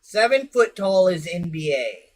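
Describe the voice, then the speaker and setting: A man's voice: high-pitched, big pitch swings, a little slow, noisy background. A man with a Midwestern American accent hosting a casual late-night chat stream, blunt and joking. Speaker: streamer host.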